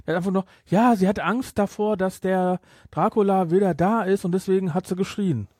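A man with a German accent chatting casually about a film as a podcast host, with an animated, teasing manner. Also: sits high, fairly quick, husky, dry recording, clean studio sound. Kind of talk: casual chat, film discussion.

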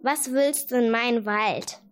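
A woman's voice: fairly high pitch, a little slow, slight background noise, natural voice. A woman voicing a wild, angry, threatening unicorn in an audio fairy tale. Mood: menacing, scornful.

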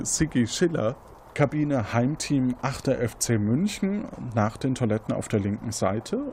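A man's voice: medium pitch, darkish tone, slight background noise. A man, improvising scene descriptions as game master of an interactive mystery game, often correcting himself.

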